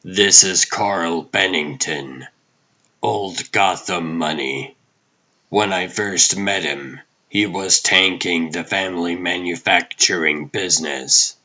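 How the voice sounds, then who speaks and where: Man acting a slow, deep, brooding vigilante detective. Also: sharp tone, full character voice, rough recording. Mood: grim, brooding. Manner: grim, deliberate, brooding. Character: aging vigilante hero, brooding hard-boiled detective narrator. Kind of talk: scripted comic dub, noir inner monologue.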